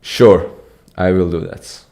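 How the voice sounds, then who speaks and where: A man's voice: sits low, takes it slowly. A young man from Iran, a competitive programming streamer, casually answering live chat while reading it aloud. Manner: relaxed, reads and answers chat. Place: small dim room with pink light. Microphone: studio mic on arm.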